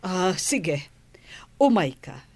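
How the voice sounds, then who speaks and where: A low, husky woman's voice with lively swings, an actress playing a kindly, concerned lady in an Ilocano radio drama. She questions in a motherly way, recorded close and dry. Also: extremely slow, no echo, heavily acted. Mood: gentle concern. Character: kindly, concerned adult woman helping a runaway girl.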